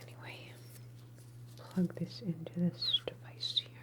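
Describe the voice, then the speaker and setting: A woman's voice: lowish voice, level tone, close to an everyday voice. A woman playing an unhinged, superpowered experimenter in a sci-fi ASMR roleplay, whispering breathily in a casually clinical, faintly menacing way. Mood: offhand, unhinged. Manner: unhurried, murmured, offhand and clinical.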